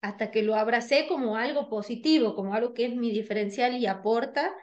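A woman's voice: fairly quick, dull audio. A woman with a Tucumán Argentine accent, co-hosting a radio podcast and speaking in a sincere, reflective way. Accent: Tucumán Argentine Spanish. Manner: sincere, reflective, self-described high-pitched voice. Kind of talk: casual radio chat.

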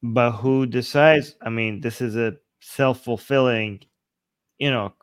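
A man's voice: mid-range voice, smooth, no room sound. Man, host, sarcastic, mock-quoting, dry sound, no room. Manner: sarcastic, mocks arguments with quick imitated quotes. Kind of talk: casual online panel discussion.

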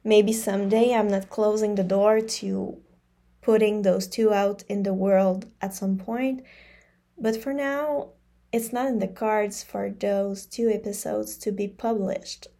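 Slow, mellow-voiced podcast host, French native. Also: female voice, medium pitch, fairly even intonation, vocal fry. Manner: slow, thoughtful, repeats phrases for emphasis. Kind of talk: reflective solo podcast monologue.

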